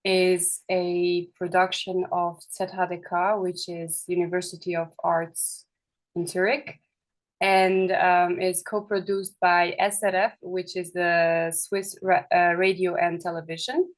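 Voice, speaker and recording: A woman's voice: lowish voice, little pitch movement, slow. A young woman from Kosovo, a film director, talking in an interview with many hesitations and restarts. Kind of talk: festival interview.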